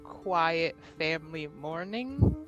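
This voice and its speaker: A woman chatting casually as a player in a tabletop roleplaying game, giggly and animated. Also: low-pitched, slow, faint music behind. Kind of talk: casual improvised roleplay chat.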